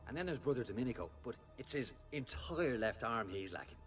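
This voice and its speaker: An actor playing a genial, chatty, slightly dim friar in a video game cutscene. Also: male voice, mid-range voice, sing-song, brisk, fairly bright tone, distant mic, faint music behind. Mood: genial, musing. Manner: rambling, helpful.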